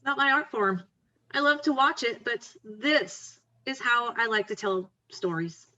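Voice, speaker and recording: A woman's voice: fairly high pitch, unhurried, bright, forceful tone, highs cut off. A woman playing a blunt, confident, wry young friend in a screenplay table read, speaking frankly and coaxingly. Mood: encouraging, wry. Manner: frank, coaxing, plain-spoken.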